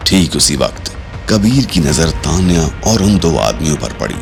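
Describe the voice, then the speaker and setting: A man's voice: extremely low pitch, very animated, measured pace, vocal fry, heavily acted. A man narrating a scripted audio drama in a suspenseful storytelling style, with dramatic delivery. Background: music.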